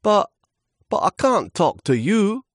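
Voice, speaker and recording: A little storybook leaf acted out by a man, naive, lonely and eager to be liked. The voice sits high and moves in big swoops, with hesitant, earnest phrasing in a dry, close setting. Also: sing-song, takes it slowly, a little mellow, no echo, heavily acted. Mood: timid, unsure.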